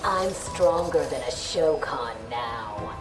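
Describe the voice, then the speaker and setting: Low-voiced, cocky cyborg fighter. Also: female voice, lively intonation, takes it slowly. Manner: taunting, self-assured. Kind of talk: fighting game character dialogue. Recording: game audio with music behind.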